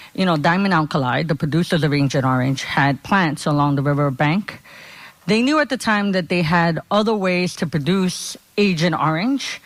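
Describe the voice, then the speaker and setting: A woman's voice: on the low side, dry recording. A woman from Honduras giving a conversational talk at a conference as a community organizer, often checking in with her listeners.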